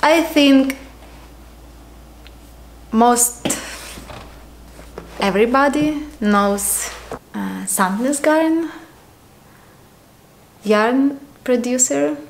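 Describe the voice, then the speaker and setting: A woman in her thirties from Ukraine with a Ukrainian accent, hosting a casual knitting podcast at home, talking unhurriedly with frequent ums and pauses. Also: sits high, animated, creaky. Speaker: podcast host. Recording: echoey room.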